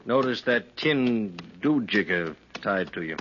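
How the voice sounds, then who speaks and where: An actor playing a laconic, curious drifter in a 1950s radio western, with a dry, rustic way of talking. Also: male voice, on the low side, expressive, measured pace. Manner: folksy rustic drawl, dry, clipped. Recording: dull, noisy vintage radio recording.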